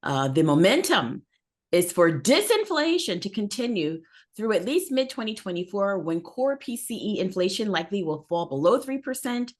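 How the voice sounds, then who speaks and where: A woman's voice: mid-range voice, normal speed. A woman hosting a market news show, reading a scripted roundup. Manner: reads script with lively intonation. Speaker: show host.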